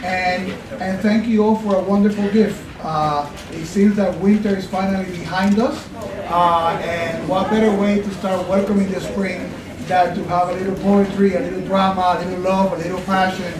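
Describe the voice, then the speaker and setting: A man's voice: high-pitched, level tone, moderate pace, crowd noise. Middle-aged man addressing an audience. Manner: warm, gesturing, with frequent fillers. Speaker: college president. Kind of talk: ceremonial remarks. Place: college event room. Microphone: podium mic. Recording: echoey room, distant mic, audience.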